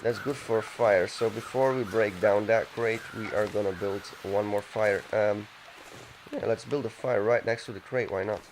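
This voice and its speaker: A man's voice: lowish voice, fairly even intonation, normal speed, a little hiss or hum, rough recording. A man casually commenting on his game as he plays, thinking aloud and reading text from the screen. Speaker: let's play gamer.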